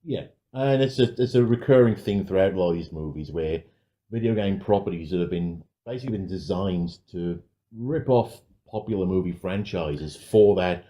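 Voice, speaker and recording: Low-pitched man on a slightly dull recording. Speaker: podcast co-host. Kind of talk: film and game discussion.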